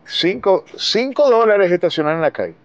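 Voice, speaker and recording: A man's voice: high-pitched, lively intonation, moderate pace, no echo, lo-fi sound. A man in his forties with a Venezuelan Spanish accent, reporting from inside his car on a city street, questioning people with pointed, indignant questions and emphatic repeats.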